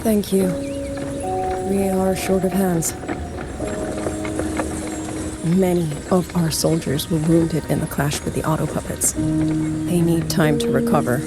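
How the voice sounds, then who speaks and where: Woman acting solemn low-voiced female commander. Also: little pitch movement, natural voice. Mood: composed, grateful. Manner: grave, deliberate, formal phrasing. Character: solemn female military commander, dutiful, careworn, composed. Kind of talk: video game voice acting. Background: prominent game music.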